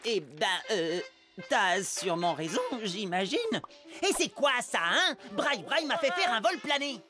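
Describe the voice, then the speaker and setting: A woman's voice: a little high, big pitch swings, a little slow. A woman voicing a gloomy, unlucky preteen amoeba boy in a cartoon dub, exasperated but sincere, with whiny, hesitant grumbling. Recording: music behind the voice.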